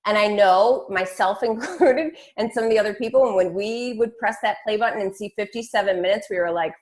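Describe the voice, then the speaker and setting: A woman with a mid-pitched voice chats casually as she hosts a team call. She talks like a fitness coach among friends, informal and slangy. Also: fairly quick, darkish tone. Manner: chatty, informal, peppered with slang and swearing. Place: online group call.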